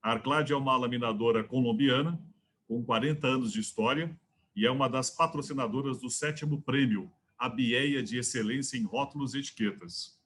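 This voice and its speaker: A man's voice: medium pitch, fairly bright tone, breathy edge. A man hosting as association president, formally introducing a guest and asking questions. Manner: formal, measured. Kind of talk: scripted intro then interview questions.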